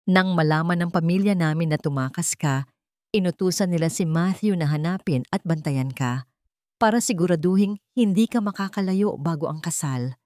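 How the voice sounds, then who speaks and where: Woman voicing a smooth scheming young man. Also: lowish voice, normal speed, no echo, clean studio sound, close to an everyday voice. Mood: feigned concern. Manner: gentle, feigned concern. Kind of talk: Tagalog radio drama storytelling.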